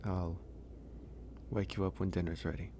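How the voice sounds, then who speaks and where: A man acting a gentle, flirtatious, lonely werewolf in a soft-spoken ASMR romance roleplay. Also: low-pitched, flat delivery, a little hiss or hum. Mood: grateful, caring. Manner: soft-spoken, unhurried, reassuring.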